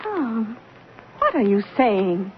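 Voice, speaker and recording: A woman's voice: a little high, sing-song, slow pace. An actress playing a sensible, skeptical wife who humors her husband in an old-time radio drama, by turns coaxing and exasperated. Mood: incredulous. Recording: tinny, thin, faintly noisy old radio recording.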